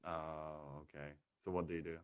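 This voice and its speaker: A man's voice: extremely low pitch, flat delivery, moderate pace, clean tone, dry recording, muffled recording. A man interviewing in casual conversation, asking curious questions with lots of stumbles and restarts.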